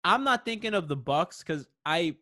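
A man's voice: high-pitched, lively intonation, moderate pace, sharp tone, no echo, studio quality. Easygoing man in sports podcast chat. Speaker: podcast co-host.

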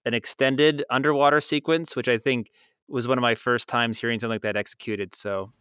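Clear, smooth male guest, hesitant. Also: mid-range voice, steady tone, brisk, pushed and bright, no echo, studio quality. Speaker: podcast guest. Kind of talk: casual interview chat.

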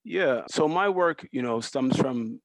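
A man, a graffiti designer, answering interview questions in a measured way. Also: medium pitch, expressive, no room sound, low-quality recording. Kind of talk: interview conversation.

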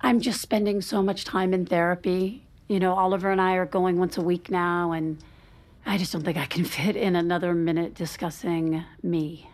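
A woman's voice: on the low side, fairly even intonation, moderate pace, darkish tone, lightly acted. An actress playing a guarded, strained wife and mother in naturalistic audio drama, speaking conversationally with some hesitancy. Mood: defensive.

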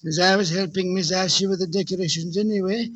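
An actor playing a deferential, fussy butler in 1940s comic radio style, speaking with formal, servile politeness. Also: male voice, high voice, fairly even intonation, fast, a bit bright, breathy edge, no room sound. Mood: polite concern. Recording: dull vintage 1940s radio recording.

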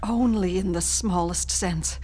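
A woman's voice: fairly low pitch, a little slow, warm tone, lightly acted. A woman playing a gushing, seductive psychic medium in an audio horror drama. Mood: amorous, coaxing.

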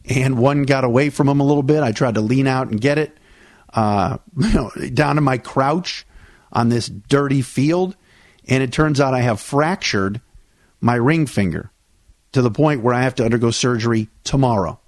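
A man talks through a solo sports podcast. His voice sits in the middle range and sounds dry and close to the mic. He is easygoing and chatty, often pausing on "uh" while his voice recovers from surgery. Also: medium pitch, no room sound. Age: 40s. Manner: chatty, conversational, with frequent "uh" fillers. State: recovering from vocal cord surgery. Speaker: podcast host.

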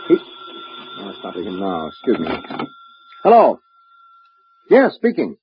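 An actor playing a tough, wry detective boss in a vintage radio drama, brusque and clipped. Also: male voice, deep voice, huge pitch swings, slow, a little hiss or hum, exaggerated voice. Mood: businesslike. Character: tough, wry male private detective boss, plain-spoken. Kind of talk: 1950s radio drama acting. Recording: muffled old radio broadcast recording.

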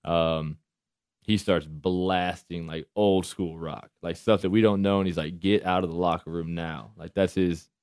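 A man with a deep voice, chatting casually as a football player guest and laughing often. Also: fairly even intonation, a little slow, darkish tone, no room sound, studio quality. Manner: laid-back, laughs often. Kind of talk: casual chat.

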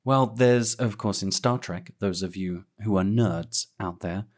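A man's voice: fairly low pitch, measured pace. Guy hosting a chatty podcast, jokey and kinda self-deprecating. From United Kingdom. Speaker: podcast host. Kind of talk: casual conversational chat.

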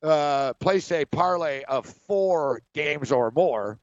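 This is a man hosting a sports betting talk show in a loose, casual way. He laughs often and trips over his words when he gets going. His slightly high voice sounds dry and a little muffled. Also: animated, slow pace, dry recording.

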